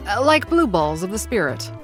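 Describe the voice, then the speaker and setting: A woman's voice: medium pitch, very animated, moderate pace, over music. A woman narrating a comedic audio drama in the first person, rambling with lots of fillers and making wry asides to the listeners. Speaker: narrator and lead character.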